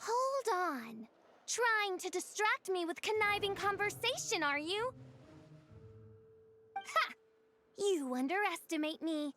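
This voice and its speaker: A woman's voice: extremely high pitch, big pitch swings, slow, pauses often, heavily acted. A woman playing a theatrical, haughty teenage girl who role-plays a villainous mage in an anime game, with pompous, grandiose phrasing. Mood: smug, grand.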